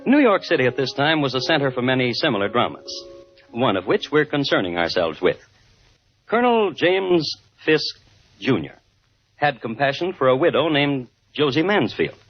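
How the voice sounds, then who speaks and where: A dryly ironic crime-story host narrates for 1950s radio. His phrasing is deliberate and his pitch lively around an ordinary male register. The recording is dull and old. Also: faint music behind. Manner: deadpan irony, deliberate phrasing. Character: dry, wry crime-story host telling old scandals.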